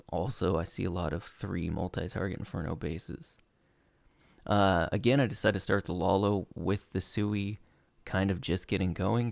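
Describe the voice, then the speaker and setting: Low gravelly guy narrating his replays, voice going, dry close mic. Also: no room sound. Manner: relaxed play-by-play with frequent uh fillers. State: losing his voice. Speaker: gaming channel host. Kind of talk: commentating over gameplay replays.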